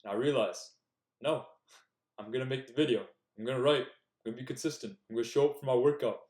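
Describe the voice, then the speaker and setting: A man's voice: mid-range voice, fast talker, a little mellow. Earnest young man, echoey room. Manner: earnest, direct, coaching tone. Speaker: self-improvement YouTuber. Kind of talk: casual motivational talk to camera.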